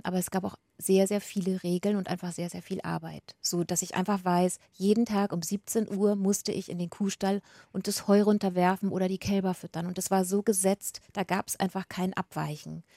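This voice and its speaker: A woman from Franconia in Bavaria, speaking clean standard German as an interview guest. Her voice sits at a middle pitch and sounds close and dry. She talks in a thoughtful, measured way with a light touch of humor. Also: dark tone, no room sound. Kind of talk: reflective conversational storytelling.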